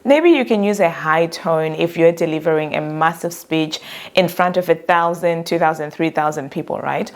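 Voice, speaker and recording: A woman career coach who gives direct, earnest advice about workplace communication and calls her own voice monotone. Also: deep voice, moderate pace. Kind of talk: motivational talk to camera.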